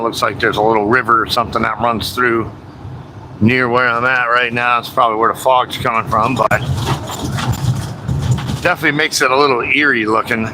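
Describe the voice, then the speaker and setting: A man drives a truck down the highway and chats to his livestream, loose and rambling, dropping fillers and a casual curse here and there. He sits in the cab, and the road and engine noise rumble clearly under his mid-pitched voice. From United States. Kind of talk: casual livestream chat while driving.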